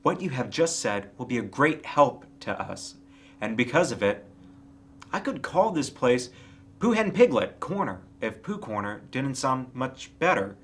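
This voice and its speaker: A man's voice: mid-range voice, very animated, measured pace, fairly bright tone. A man voicing a kindly, simple-minded toy bear in a storybook, earnest, musing and slightly muddled.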